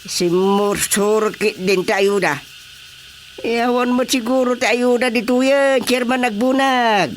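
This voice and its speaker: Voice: mid-range voice, takes it slowly, a little hiss or hum, natural voice. A performer with an Ilocano Filipino accent playing a dead village chairman who asks teasing questions in a radio comedy. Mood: wry.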